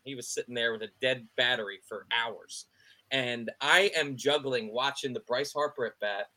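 A man co-hosting a sports podcast, chatting casually, excitable and quick to laugh, often repeating himself. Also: medium pitch. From Philadelphia area. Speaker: podcast co-host.

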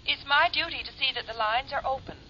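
An actress playing an inflexible telephone operator, formal and clipped, in 1950s radio drama style. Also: female voice, sits high, fast talker, sharp tone. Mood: firm, insistent. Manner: formal, clipped, scripted politeness. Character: officious, inflexible female telephone switchboard operator. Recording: muffled, noisy 1950s radio recording.

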